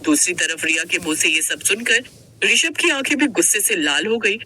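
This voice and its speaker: A woman's voice: on the low side, expressive, normal speed, pushed and bright, slightly rough. A woman narrating a story in a dramatic, scripted storytelling style.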